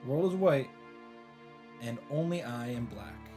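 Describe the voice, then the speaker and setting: A man's voice: medium pitch, lively intonation, unhurried, a little mellow. A man reading visual novel narration aloud as a let's player, dropping in casual, wry asides. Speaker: video game let's player. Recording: music behind the voice.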